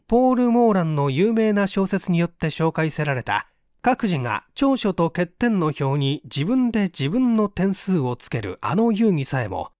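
A man reading a literary mystery story aloud as an audiobook narrator, with theatrical, expressive delivery. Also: a little high, no echo, studio quality. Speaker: audiobook reader.

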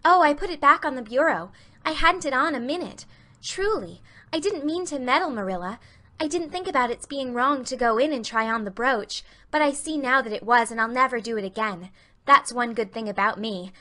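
A woman's voice: sits high, brisk, bright, forceful tone, pitched above the performer's own voice. A woman voicing an imaginative, talkative little girl in an audiobook, eager and earnest. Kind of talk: audiobook character reading.

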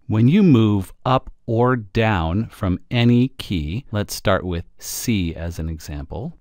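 A man presenting a software tutorial, explaining step by step in a conversational way with wry asides. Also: low-pitched, no echo, studio quality.

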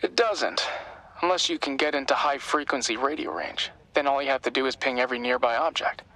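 An actor playing an earnest, caring young tech helper in a video game, eager and explanatory. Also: male voice, mid-range voice, brisk, pushed and bright. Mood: helpful, focused. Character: earnest, worried young male tech-savvy sidekick. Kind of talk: cinematic game dialogue.

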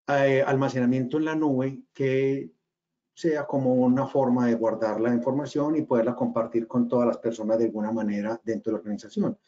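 A man with a Colombian accent gives a webinar talk from a small room over his webcam. His voice is mellow and warm, his delivery hesitant and full of fillers. The audio is a little dull, like a typical video call. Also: mid-range voice. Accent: Colombian regional. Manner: hesitant, many fillers and restarts. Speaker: webinar speaker, company cofounder. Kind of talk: webinar presentation.

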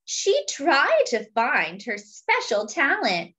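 A woman reading a picture book aloud to young children and commenting on the pictures, expressively and with pauses for emphasis. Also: sits high, wildly swooping pitch, fairly bright tone. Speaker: children's storybook reader.

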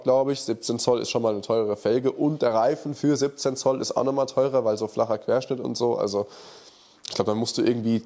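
A man's voice: medium pitch, darkish tone. A man in his thirties with a slight cold and nasal voice, bluntly answering viewer questions in a casual chat, recorded on a lapel mic in an indoor office. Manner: blunt, colloquial, frank verdicts. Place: indoor workshop office, seated in armchair.

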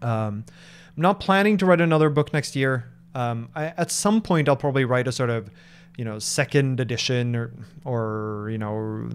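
A man in his thirties from Norway talks through viewer questions on a live stream, pausing and restarting as he thinks aloud. His voice sits at an ordinary middle pitch. He speaks into a desk microphone in a home office with a faint hum behind him. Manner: thoughtful, hesitant with many fillers and restarts. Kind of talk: casual live Q&A. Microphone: large microphone on desk in front.

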